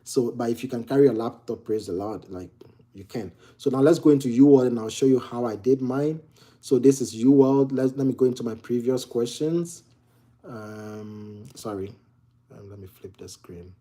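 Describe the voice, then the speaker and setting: A man's voice: medium pitch, normal speed, warm tone. A young man from Ghana with a Ghanaian accent, casually answering viewer questions about exam study, with lots of fillers and restarts. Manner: chatty, many fillers and restarts. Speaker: medical exam tutor. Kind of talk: casual Q&A walkthrough. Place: home near a kitchen.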